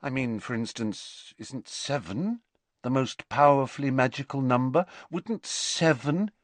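A man's voice: medium pitch, unhurried, no echo, voice pushed up, lightly acted. A man voicing a polite, eager and cunning teenage wizard in an audiobook, deferential yet probing. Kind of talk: audiobook character voice.

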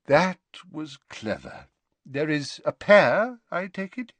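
A man voicing a wise, gentle, very old male wizard headmaster who speaks mildly and calmly. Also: on the high side, slow pace, dry recording, voice pushed up, heavily acted. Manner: mild, soft-spoken and calm.

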